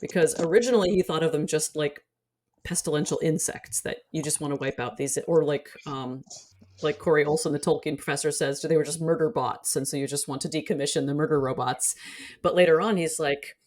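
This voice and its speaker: A woman's voice: sits low, a little fast, darkish tone, a little hiss or hum. A woman speaking as a guest in a casual panel discussion, analytical and articulate like a scholar explaining her field. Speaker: podcast guest, literature scholar.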